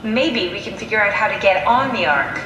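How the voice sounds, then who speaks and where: A woman's voice: medium pitch, sing-song, a little fast, background noise, natural voice. An actress playing a curious, pragmatic woman scientist in a video game, talking in an analytical, matter-of-fact, conversational way. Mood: brisk, curious. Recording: echoey room sound with music behind.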